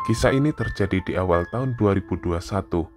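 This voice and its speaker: A man with a low voice narrates a scripted horror story. Clear background music plays under his voice. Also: brisk.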